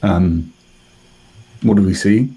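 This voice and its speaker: A British man improvises narration as a tabletop roleplayer. His voice is low, mellow and husky. He feels his way along haltingly in hushed phrases. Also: dull audio. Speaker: actor, tabletop player.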